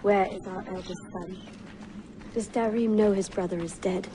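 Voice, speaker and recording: A woman's voice: medium pitch, fairly even intonation, normal speed, background noise, natural voice. A woman playing a wise, steadfast wife of an assassin master in a video game, giving earnest, formal counsel with composure. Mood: concerned. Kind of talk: dramatic video game cutscene acting. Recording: loud music over the dialogue.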